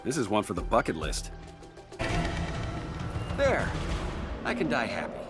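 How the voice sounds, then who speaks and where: An actor playing a glib, irreverent archer hero in a video game cinematic. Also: male voice, lowish voice, wildly swooping pitch, a little slow. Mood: breezy, joking. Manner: glib, joking. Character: cocky wisecracking male archer hero, breezy and irreverent. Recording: loud music under the voice.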